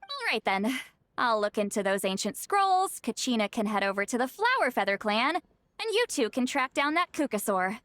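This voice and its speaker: A woman playing a composed, thoughtful young investigator, speaking in a measured, analytical way in scripted game dialogue. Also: on the high side, very animated, a bit bright, dry recording. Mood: decisive.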